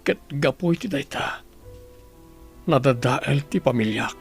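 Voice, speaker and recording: A man acts out an anguished Ilocano husband in a radio drama. His mid-pitched voice is full of fry, and he speaks in a pleading, remorseful way over faint music. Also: animated, unhurried. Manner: pleading, prayerful.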